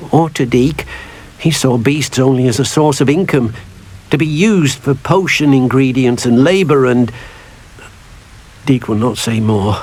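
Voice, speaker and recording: An actor voicing a small, timid male house-elf servant in a video game, who talks about himself by name in an eager, fussy way. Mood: solemn. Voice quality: husky, high squeaky. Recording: game audio with faint music.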